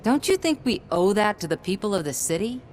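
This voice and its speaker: A woman's voice: medium pitch, sing-song, fairly quick, a little mellow, lightly acted. A self-assured female TV reporter played by a woman in a game cutscene. Her mid-range voice is persistent and persuasive as she probes for answers. Light noise runs underneath. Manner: smooth-talking, probing, persuasive. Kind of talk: video game cutscene acting.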